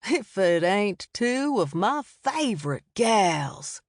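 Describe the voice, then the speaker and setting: A woman's voice: mid-range voice, very animated, no echo, studio quality, heavily acted. Woman doing a teasing, easygoing Southern man. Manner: teasing, slow and drawling.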